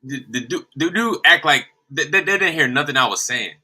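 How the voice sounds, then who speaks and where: Man, caller, bright sharp tone, slightly high pitch, exasperated. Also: animated, a little fast. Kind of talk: casual chat.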